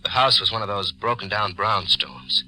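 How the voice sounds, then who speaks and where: Deep-voiced hard-boiled hearse driver. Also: male voice, animated, normal speed, sharp tone, slight background noise, low-quality recording. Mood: world-weary. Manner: wisecracking, streetwise, weary tough-guy talk. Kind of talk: 1940s radio noir acting, first-person narration and dialogue. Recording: old 1940s radio recording with music.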